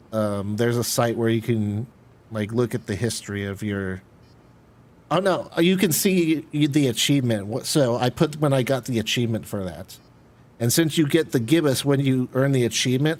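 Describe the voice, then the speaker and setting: An American man chatting casually on a game livestream, rambling in a conversational way. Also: medium pitch. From United States. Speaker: game streamer. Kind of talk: casual livestream chat while gaming.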